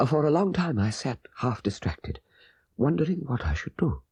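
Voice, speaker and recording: Audiobook role: guilty old man on his deathbed, played by a male reader, laboured, whispery, mellow and close-miked dry. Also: mid-range voice, big pitch swings, dry recording, exaggerated voice. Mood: haunted, halting. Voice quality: breathy, fading into whispers. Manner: halting, laboured deathbed speech. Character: dying old father, guilt-ridden, greedy, confessing. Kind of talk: dramatic audiobook character voice.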